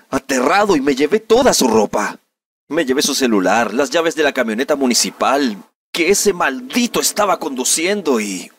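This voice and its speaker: A man plays an aggrieved young man giving a press interview in a comedic amateur dub. His husky voice swings widely in pitch, melodramatic and indignant, in neutral Latin American Spanish. It sounds slightly away from the mic. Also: fairly bright tone, dry recording, distant mic, exaggerated voice. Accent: Latin American neutral dubbing Spanish. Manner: melodramatic, indignant, dubbed-film diction. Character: aggrieved young man recounting a humiliation to reporters.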